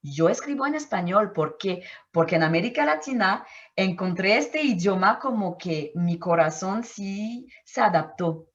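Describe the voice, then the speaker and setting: A woman's voice: medium pitch, expressive, unhurried, hardly any pauses, muffled recording. Thoughtful Martinican woman with a French accent. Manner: thoughtful, searching for words, frequent restarts. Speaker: anthropologist guest. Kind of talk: reflective interview answers.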